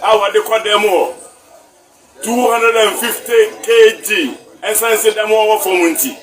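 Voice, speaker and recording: High-pitched guy yelling in a phone clip, far from the mic, people all around, echoey. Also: moderate pace, faint music behind. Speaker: man in a filmed clip.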